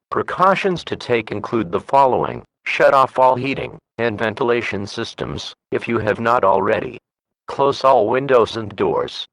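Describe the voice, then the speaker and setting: A man's synthetic voice with a dry, close sound and a middling pitch delivers a formal emergency broadcast announcement. The reading is measured and flat, scripted from start to finish. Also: no room sound, poor audio.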